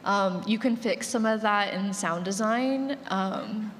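A woman's voice: mid-range voice, takes it slowly. A woman giving casual advice as a panelist. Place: large room with audience. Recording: echoey hall with faint noise.

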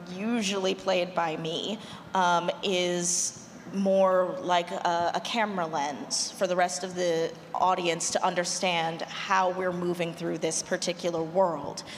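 Lowish-voiced woman in a reverberant hall. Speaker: audio drama creator, voice actor, panelist. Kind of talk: unscripted panel discussion. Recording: noisy echoey hall.

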